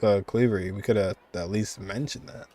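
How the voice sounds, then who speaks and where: A man's voice: fairly low pitch, fairly even intonation, fairly quick, mellow, slight background noise. A man streaming a game playthrough, thinking aloud in an informal, teasingly exasperated way with game music behind him. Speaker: gaming streamer. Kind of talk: casual playthrough commentary.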